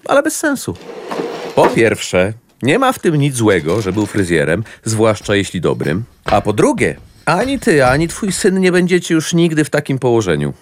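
A man playing a sharp-tongued young gentleman. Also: medium pitch, huge pitch swings, moderate pace, a little hiss or hum. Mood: mocking. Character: witty indebted young gentleman, outspoken. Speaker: actor. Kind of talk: radio play acting.

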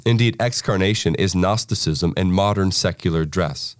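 Low-voiced man giving a scripted introduction. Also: normal speed, dry recording, studio quality. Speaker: podcast host introducing an article.

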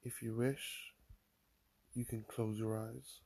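A man guiding a mindfulness meditation in a calm, soothing manner, leaving long pauses between phrases. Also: lowish voice, creaky, slightly rough. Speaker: mindfulness guide. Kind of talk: guided meditation, body scan.

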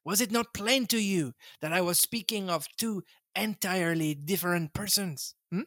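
A man's voice: high-pitched, moderate pace, a bit bright, dry recording, studio quality, pitched above the performer's own voice. A man voicing a fussy elderly male detective with a put-on French accent, coaxing and ending his points with a questioning hmm. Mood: patient.

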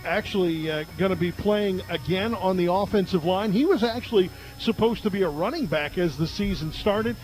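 A man's voice: high-pitched, moderate pace, few pauses, darkish tone, soft background music, low-quality recording. A man doing conversational pregame football commentary on the radio, emphatically reeling off stats as the play-by-play announcer. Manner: emphatic, reels off statistics.

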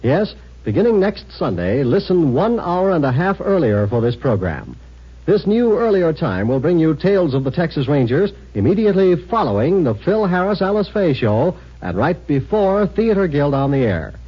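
A man's voice: on the high side, sing-song, moderate pace, slightly rough. A man reading a scripted announcement as an old-time radio announcer. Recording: muffled old radio recording.

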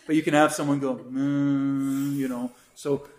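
Chatty priest in an echoey room. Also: male voice, mid-range voice, flat delivery, unhurried. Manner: rambling, many fillers and restarts, sings brief chant examples. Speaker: priest teaching a catechism class. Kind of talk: casual classroom teaching. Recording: roomy echo.

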